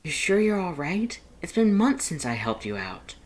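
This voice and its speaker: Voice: medium pitch, very animated, moderate pace, pitched above the performer's own voice, natural voice. A narrator voicing a protective, exasperated male bartender made of fire, scolding emphatically in a fan fiction reading. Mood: concerned.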